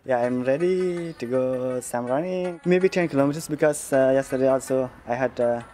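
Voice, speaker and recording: A nineteen-year-old man from Afghanistan with an Afghan accent, talking casually and haltingly as he searches for English words. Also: medium pitch. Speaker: interviewee, young athlete and taekwondo teacher. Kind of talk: casual interview and personal narration. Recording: loud music laid over the voice. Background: loud music.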